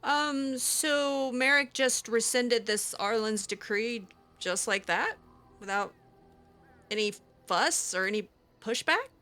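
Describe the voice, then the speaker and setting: A woman's voice: fairly high pitch, takes it slowly, sharp tone. A woman improvising in-character roleplay, hesitant and full of ums. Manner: hesitant, with frequent ums and restarts. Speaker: roleplaying game player. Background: faint game music.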